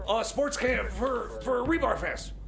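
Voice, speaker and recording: A man's voice: very high-pitched, animated, a little slow, gravelly, a crowd in the background, full character voice. A man voicing a bumbling, hapless booking agent in a comedy radio sketch. He stammers and sounds flustered.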